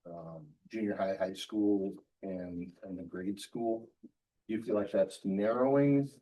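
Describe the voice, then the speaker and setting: Mellow low-voiced man asking questions. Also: rough recording. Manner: measured questioning. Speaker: board member.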